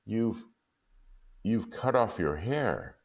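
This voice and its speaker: A man doing a quiet, hesitant young husband. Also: lowish voice, animated, dull audio, voice pushed up, heavily acted. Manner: slow, hesitant.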